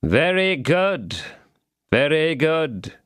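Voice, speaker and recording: A man voicing a calm, grim swordsman who speaks slowly and evenly. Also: fairly high pitch, clear, resonant tone, dry recording, pitched above the performer's own voice, full character voice. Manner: calm, slow, measured. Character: calm, grim male swordsman behind a door.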